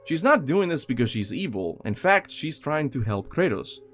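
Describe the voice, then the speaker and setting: A man's voice: fairly high pitch, big pitch swings, moderate pace. A young man narrating a scripted video game countdown as host, with music behind him.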